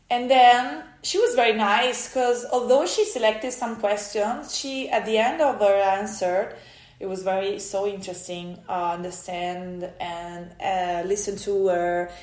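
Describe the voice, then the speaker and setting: An Italian woman with an Italian accent, speaking English as a second language, tells a personal story in a halting, emotional way with many restarts. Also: mid-range voice, darkish tone. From Italy. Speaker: vlogger. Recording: echoey room.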